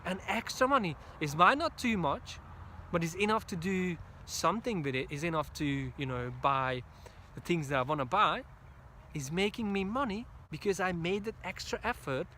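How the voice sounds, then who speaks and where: A Hungarian-accented man delivers a motivational pep talk, his voice sitting a bit higher than most men's. He hammers the same phrases over and over with emphatic, preacher-like drive. He is outdoors, with faint city traffic noise behind him. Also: animated, normal speed, pushed and bright. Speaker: motivational speaker.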